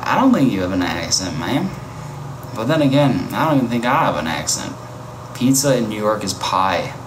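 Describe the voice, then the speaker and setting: A man on a casual livestream, chatting and reacting to his viewers' comments. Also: medium pitch. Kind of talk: casual live-stream chat. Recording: echoey with loud ambient noise.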